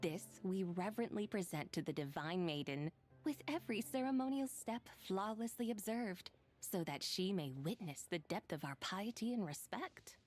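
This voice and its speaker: A woman's voice: medium pitch, normal speed, a little mellow, natural voice. Woman playing an immortal moon maiden, proud, serene, formal, stately diction, video game voice over music. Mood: reverent, solemn. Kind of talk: scripted video game dialogue and poem recitation.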